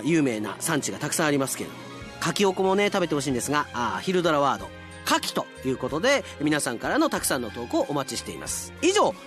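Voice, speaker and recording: A man hosting a radio show, talking casually and chattily with self-deprecating asides and reading listener mail. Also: a little high. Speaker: radio announcer and drama show host. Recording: music bed under the voice.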